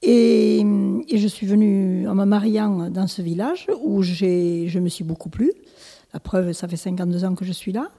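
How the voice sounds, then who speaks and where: A woman's voice: mid-range voice, normal speed, warm tone, breathy edge, big-room sound. A woman in her seventies, born in Italy, answering interview questions as a village mayor, hesitant with many restarts and fillers. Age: 70s. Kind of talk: local interview.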